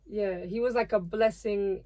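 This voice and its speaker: A woman's voice: mid-range voice, unhurried. Young woman, casual and chatty. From Portugal. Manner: relaxed, filler-heavy talk with many "like"s. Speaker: guest singer. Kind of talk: casual podcast chat.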